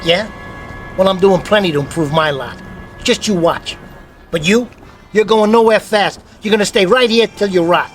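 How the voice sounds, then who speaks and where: A man's voice: high voice, normal speed, faint music behind, natural voice. An actor playing a sour, scornful man in his thirties in a radio drama, brash, slangy and combative. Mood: angry, scornful. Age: 30s.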